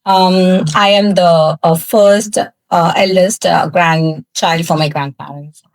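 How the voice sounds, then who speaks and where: A woman from South India whose first language is Tamil, telling her personal story as a guest in a podcast interview, candid, with frequent laughs and halting fillers. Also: lowish voice, steady tone, no echo. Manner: candid, laughs often, pauses with many fillers. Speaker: guest, cosmetics founder.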